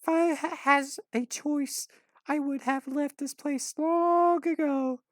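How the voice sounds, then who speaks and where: A man voicing a sarcastic, put-upon nineteen-year-old orphan girl in an exaggerated falsetto for a story reading. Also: steady tone, slow pace, no room sound, clean studio sound. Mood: bitter.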